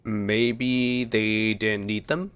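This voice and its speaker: A man's voice: fairly low pitch, level tone, very slow, a bit bright, smooth. A man giving casual reaction commentary over a game as its player, thinking aloud. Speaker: gaming video creator.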